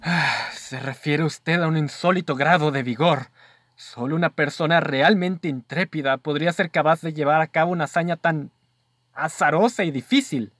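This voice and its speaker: A man's voice: on the high side, normal speed, fairly bright tone, no room sound. A man with a Mexican accent playing an earnest, easily astonished investigator in a radio drama, with formal, emphatic delivery. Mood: amazed. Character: earnest male investigator's companion, astonished, reasoning aloud. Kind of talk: radio drama acting, formal literary dialogue.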